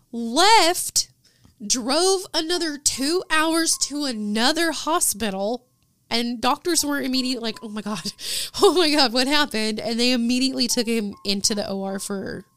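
A woman's voice: high voice, fairly bright tone, no echo. An American woman hosting a podcast, retelling a true-crime story in an informal, conversational way. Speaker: podcast host.

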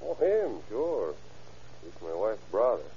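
An actor playing an evasive Mexican husband and father in halting, accented English, in old-time radio drama style. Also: fairly low pitch, sing-song, dark tone, breathy edge, exaggerated voice. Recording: muffled vintage radio recording.

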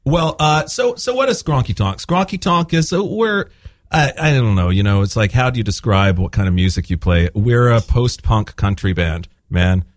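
A man's voice: medium pitch, expressive, normal speed, no room sound, studio quality. A man from Spain, a guest band member, chatting casually with a rambling, self-deprecating manner. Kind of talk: casual chat.

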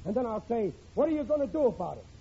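A man's voice: extremely high pitch, sing-song, rapid-fire, breathy edge, exaggerated voice. An actor playing a hapless, self-pitying middle-aged working-class father in a 1940s radio comedy, grumbling plaintively in ungrammatical speech. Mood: scheming, defiant. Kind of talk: 1940s radio sitcom acting. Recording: muffled vintage radio recording, faint noise.

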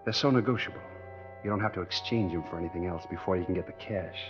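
A man's voice: sits low, fast, natural voice. An American actor playing a tough, scheming engineer who tells his own swindle in hard-boiled 1940s radio drama style. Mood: sly, dreamy. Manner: hard-boiled first-person storytelling. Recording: dull 1940s radio recording with music.

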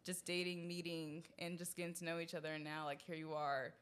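A woman's voice: fairly low pitch, flat delivery, brisk. A woman in her late twenties hosting a casual interview, chatty and giggly with lots of fillers. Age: late 20s. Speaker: interviewer, host.

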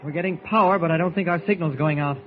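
A man's voice: a little high, fast, slight background noise, lightly acted. Man playing a shrewd reporter hero, tinny old radio. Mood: calm, reasoning. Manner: confident, reasoning, mentor-like. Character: calm, shrewd adult male reporter hero. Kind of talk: 1940s radio serial acting. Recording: thin, band-limited old radio recording.

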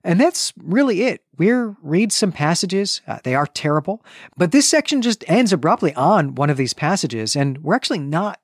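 A man's voice: sits high, normal speed, dry recording. Man co-hosting a book podcast, dry sound. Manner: relaxed, thinking aloud with fillers and restarts. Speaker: podcast co-host. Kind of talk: casual literary discussion.

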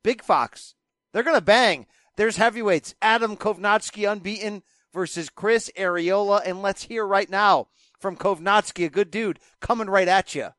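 A man's voice: sits high, normal speed, a bit bright, dry recording. A man hosting a boxing podcast, rattling off the weekend's fight listings with wry, joking asides. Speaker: podcast host. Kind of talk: casual chat.

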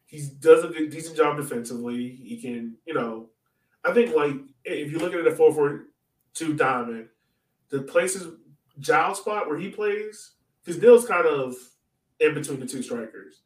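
A man's voice: fairly high pitch, normal speed. A man co-hosting a sports podcast, chatting casually about soccer in an informal, joking way. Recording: roomy echo, distant from mic.

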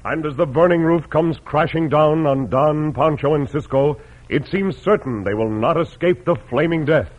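A man's voice: fairly high pitch, normal speed. Dramatic American male radio serial announcer. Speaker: announcer and narrator. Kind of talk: dramatic scripted 1950s radio serial narration. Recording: muffled old radio recording. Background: music.

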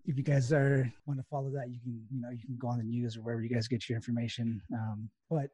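A man from West Texas hosts a casual interview. His voice is mellow and a bit husky, with a dry, close sound. He rambles easily with plenty of fillers. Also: mid-range voice, little pitch movement, fast talker, no room sound. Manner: loose, filler-heavy storytelling. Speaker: podcast host.

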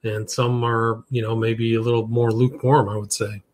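A man hosting an interview, tired and relaxed in a casual conversational way. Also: mid-range voice, normal speed. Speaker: podcast host.

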